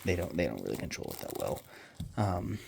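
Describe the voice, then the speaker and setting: A man chatting casually while cleaning his living room, meandering and offhand, with quiet mumbled asides, into a handheld phone. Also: deep voice, big pitch swings, brisk, gravelly. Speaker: vlogger and pet owner. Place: home living room, indoors. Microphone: handheld phone camera. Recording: loud background noise.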